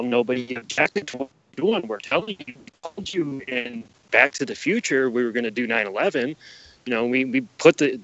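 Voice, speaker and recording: A man's voice: mid-range voice, fairly bright tone. Emphatic male guest, dull lo-fi audio. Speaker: podcast guest. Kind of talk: casual explanatory interview talk.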